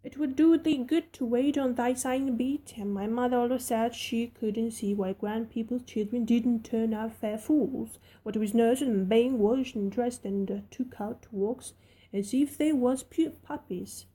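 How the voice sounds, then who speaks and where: A woman's voice: fairly high pitch, measured pace, mellow, breathy edge, rough recording. A woman doing a blunt Yorkshire housemaid. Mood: matter-of-fact. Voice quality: broad dialect, homely and frank. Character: plain-spoken young Yorkshire housemaid, cheerful and blunt.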